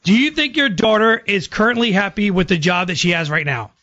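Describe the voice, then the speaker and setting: High-pitched American male radio host. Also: fairly quick, sharp tone, dull audio. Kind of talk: casual phone-in radio prank.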